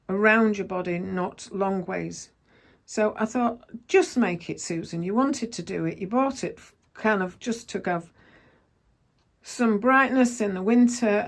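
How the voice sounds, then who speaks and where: A woman with a croaky voice, chatting casually through her sewing work and correcting herself as she goes. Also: mid-range voice, darkish tone. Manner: chatty, self-correcting, thinks aloud while working. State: croaky voice, coughing. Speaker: sewing vlogger. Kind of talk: casual sewing vlog narration.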